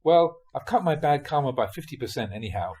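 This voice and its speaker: A man's voice: mid-range voice, lively intonation, fairly quick, a crowd in the background, lightly acted. Man voicing a torn, protesting village fisherman. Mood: self-excusing. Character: conscience-torn village fisherman, pleading and self-excusing.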